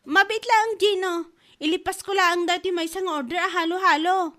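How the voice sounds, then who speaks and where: A woman's voice: extremely high pitch, steady tone, normal speed, sharp tone, soft background music. A woman playing a boastful, scornful rival shopkeeper in a comedy drama. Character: boastful, snippy female rival neighbourhood shopkeeper. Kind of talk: Filipino comedy audio drama.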